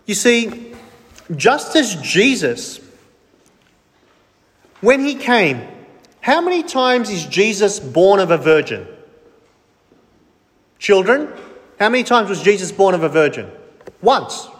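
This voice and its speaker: A man's voice: high voice, very animated, takes it slowly, pauses often, in a large hall. A man preaching a sermon with emphasis, firing questions at the congregation and answering them himself.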